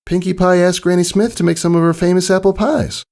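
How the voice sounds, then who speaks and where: A man's voice: high voice, steady tone, brisk, darkish tone, pitched above the performer's own voice. A man voicing a friendly farm mare, a big sister with folksy, plain-spoken country talk, in a storybook reading. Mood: cheerful, proud.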